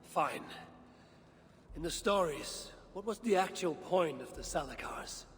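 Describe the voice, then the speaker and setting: An actor playing a bold, determined Norse god with an imperious, deliberate manner in a video game cutscene. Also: male voice, on the high side, lively intonation. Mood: probing, stern. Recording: long reverb, faint background noise.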